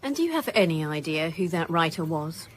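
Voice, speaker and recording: A woman's voice: sits low, very animated, brisk, low-quality recording. A lady detective in a radio play, probing, no-nonsense but tactful, noticeable vehicle noise behind her. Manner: direct, questioning, matter-of-fact. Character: female police detective inspector, probing, brisk, tactful. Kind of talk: naturalistic radio drama dialogue. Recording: clear background noise, vehicle ambience.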